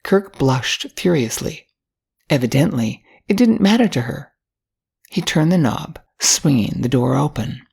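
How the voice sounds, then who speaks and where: A woman's voice: low-pitched, lively intonation, measured pace, creaky, a little mellow, husky. An older woman narrating a short story from a script as an audiobook reader.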